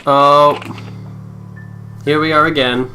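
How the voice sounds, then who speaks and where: A woman's voice: low voice, fairly even intonation, slow pace, pushed and bright, poor audio. A woman giving casual reaction commentary on a game. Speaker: let's play co-commentator. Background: faint game music.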